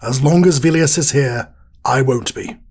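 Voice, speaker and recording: An actor playing a weary, stern old warrior mentor in an audio drama, solemn and deliberate, with a raspy voice. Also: male voice, mid-range voice, darkish tone. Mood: solemn, resigned. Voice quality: husky, raspy.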